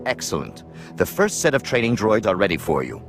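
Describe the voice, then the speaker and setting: An actor playing a composed military instructor in a video game, formal, measured and authoritative. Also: male voice, on the low side, huge pitch swings, faint music behind. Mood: composed authority. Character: composed male military instructor briefing a trainee. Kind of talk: video game dialogue acting.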